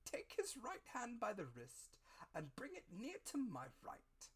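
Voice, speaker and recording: Sinister old blind beggar, high-lifted strained voice, drawn-out sing-song, cruel and wheedling, storybook villain. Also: male voice, wildly swooping pitch, fairly bright tone, breathy edge, exaggerated voice. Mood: menacing, commanding.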